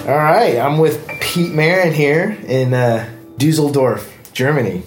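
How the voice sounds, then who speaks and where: A man's voice: a little high, unhurried, faint music behind. A man with an American accent hosting a podcast in a casual, conversational interview style. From United States. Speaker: podcast host.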